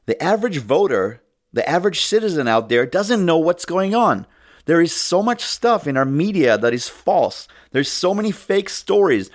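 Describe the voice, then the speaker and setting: A man hosting his own political podcast, talking loudly and emphatically in a ranting monologue. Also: medium pitch. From United States. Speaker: podcast host.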